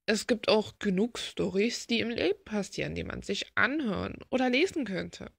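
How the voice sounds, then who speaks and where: A woman's voice: mid-range voice, very animated, moderate pace, vocal fry, breathy edge, no echo. A woman in a casual, rambling monologue, reflective and full of rhetorical questions. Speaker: video creator talking while drawing.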